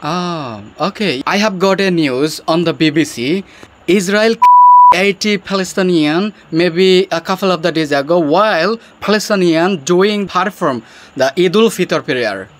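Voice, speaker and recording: High-voiced Bangladeshi man asking questions. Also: unhurried. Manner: questioning, nonstandard English phrasing. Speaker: channel host. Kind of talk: English practice conversation.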